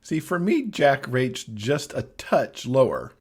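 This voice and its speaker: A man's voice: medium pitch, big pitch swings, takes it slowly, darkish tone, breathy edge. A man speaking as a podcast guest, casually giving his thoughts on a book.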